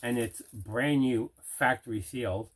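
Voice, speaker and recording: A man's voice: medium pitch, fairly even intonation, a little slow. A man hosting a casual unboxing video as a collector, talking in an enthusiastic, conversational way. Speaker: movie collector, video host.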